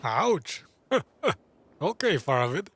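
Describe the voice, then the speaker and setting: A man's voice: on the high side, very animated, slow, fairly bright tone, slight background noise. A man giving offhand, wry reactions while playing a game. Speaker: gameplay commentator. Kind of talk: casual gaming reactions.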